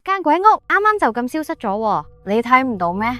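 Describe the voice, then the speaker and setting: A woman playing an excitable, stubborn young girl cousin in a ghost story, with an animated, insistent delivery. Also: a little high, brisk, no room sound, faint music behind. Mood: shaken. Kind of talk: Cantonese ghost-story dramatization.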